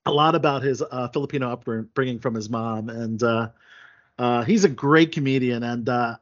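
A man's voice: mid-range voice, moderate pace. A man hosting a casual chat show, talking at length with many fillers and restarts. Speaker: podcast host. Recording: dull lo-fi sound.

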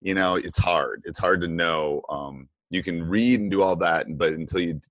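A man's voice: deep voice, moderate pace, fairly bright tone, no room sound. A middle-aged man hosting a podcast, chatting casually in a rambling, enthusiastic way. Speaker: podcast host and basketball coach. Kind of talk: casual podcast interview chat.

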